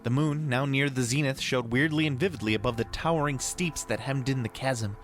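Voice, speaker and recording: A man reading a horror tale aloud as a dramatic audiobook narrator, in a deliberate, theatrical storytelling manner. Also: medium pitch, soft background music. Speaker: podcast host reading a story. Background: ambient soundscape.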